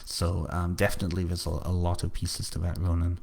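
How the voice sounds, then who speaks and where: A man with a slight North Welsh accent, hosting a live book launch and answering viewers' comments in a halting, casual way with lots of fillers and repeated words. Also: low voice, completely flat delivery, vocal fry, darkish tone. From North Wales.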